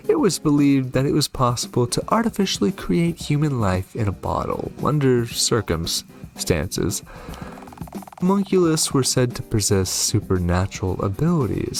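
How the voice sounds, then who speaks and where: A man's voice: mid-range voice, darkish tone. A man hosting a game playthrough, reading on-screen text aloud and adding short reactions of his own. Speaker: gaming video host.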